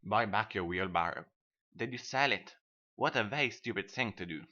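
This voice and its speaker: A man's voice: fairly low pitch, lively intonation, moderate pace, bright, forceful tone, dull audio. A man playing pompous, selfish, self-satisfied storybook characters, a rich miller and a water rat, in an acted fairy-tale reading. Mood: condescending. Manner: pompous, emphatic.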